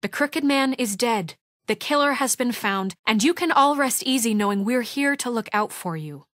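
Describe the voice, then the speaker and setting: A woman's voice: a little high, animated, moderate pace, a bit bright, dry recording, clean studio sound. An actress playing an authoritative, exasperated woman leader in a video game drama, speaking firmly and earnestly. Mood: earnest, reassuring. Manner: firm, earnest, insistent, often exasperated. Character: authoritative, exasperated woman leader of a troubled fairy-tale community. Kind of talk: dramatic video game dialogue, naturalistic acting.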